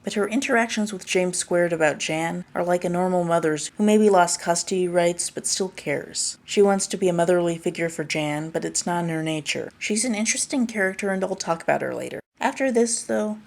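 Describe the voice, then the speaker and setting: Female essay narrator: slightly low voice, scripted, analytical delivery. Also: fairly quick, creaky, hardly any pauses. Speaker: media critic, essay narrator.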